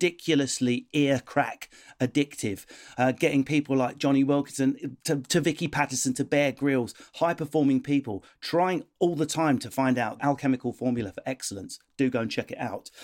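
A man's voice: medium pitch, darkish tone. A man hosting a comedy podcast, cheeky and playful with jokey asides. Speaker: podcast host. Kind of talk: comic podcast chat.

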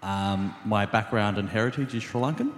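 A man's voice: fairly low pitch, expressive, normal speed, slight background noise. A man from Sydney with an Australian accent, introducing himself as a charity panelist. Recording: very echoey hall.

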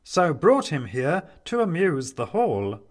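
Man playing a solemn big toy henchman, slow rhyming chant, high lively voice. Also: exaggerated voice.

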